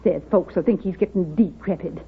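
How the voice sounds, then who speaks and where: A woman's voice: on the low side, fairly quick, breathy edge. An actress playing a pious, touchy, gossipy small-town woman in an old radio drama, with a prim, rustic American manner of speech. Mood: prim, sniffy. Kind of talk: 1930s radio drama acting. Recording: muffled, scratchy 1930s radio broadcast.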